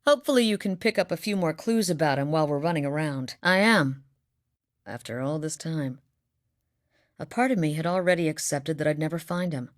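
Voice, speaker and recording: A woman's voice: deep voice, brisk, no room sound, studio quality, close to an everyday voice. A woman voicing an earnest young huntress. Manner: earnest, sincere. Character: determined young woman hunter, earnest and steady. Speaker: voice actor. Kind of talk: video game character dialogue.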